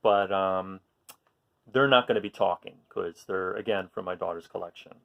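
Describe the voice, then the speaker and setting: A man reading aloud to young children, expressive, with chatty asides and questions put to his listeners. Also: sits low, normal speed, creaky, lo-fi sound. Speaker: children's storytime reader.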